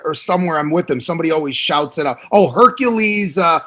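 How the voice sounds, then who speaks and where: Chatty Chicago man, a bit high. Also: expressive, fast talker, fairly bright tone. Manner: chatty, laughs often. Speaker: former pro wrestler, guest. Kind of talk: casual interview chat.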